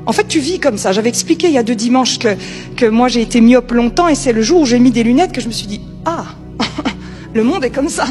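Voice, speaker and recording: A woman with a high-pitched voice preaches in an informal, conversational style. She is emphatic and repeats her key phrases, with music playing softly behind her. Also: expressive, brisk. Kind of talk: informal sermon.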